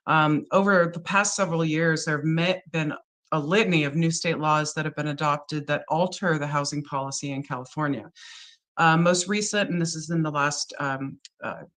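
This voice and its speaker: A woman's voice: deep voice, fairly bright tone. A woman who is under the weather, presenting a staff report as a city planning manager and explaining it with frequent ums and uhs. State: under the weather, with a deeper, rougher voice than usual.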